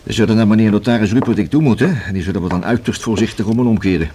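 A man acts out a police detective in a radio play, with a very low male voice. He is deliberate and dry, weighing everything with skepticism. There is some background noise on the old recording. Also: dark tone, close to an everyday voice. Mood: dry, pondering. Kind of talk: Dutch radio play acting.

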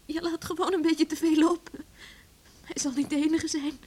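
An actress playing a young farmer's daughter in a radio play, pleading and defiant. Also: female voice, high-pitched, level tone, normal speed, a little mellow, lightly acted. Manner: pleading, headstrong. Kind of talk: Dutch radio play acting.

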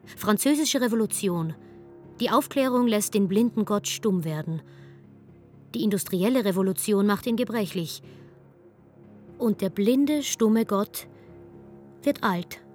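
A woman's voice: mid-range voice, expressive, a little slow, long pauses, a little mellow. Woman narrating poetically over faint music. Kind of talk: dramatic poetic scripted narration.

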